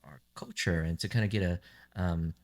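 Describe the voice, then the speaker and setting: A man with a very low voice hosts a podcast. He asks his questions in a relaxed, casual way, often using "um" and "like". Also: moderate pace. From United States. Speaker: podcast host. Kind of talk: casual interview chat.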